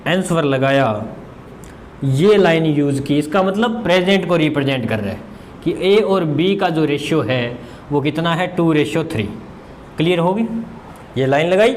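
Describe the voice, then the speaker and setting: High-pitched male maths teacher. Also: a little hiss or hum. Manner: conversational, asks and answers his own questions as students would; repeats phrases for emphasis. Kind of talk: informal exam-prep lesson.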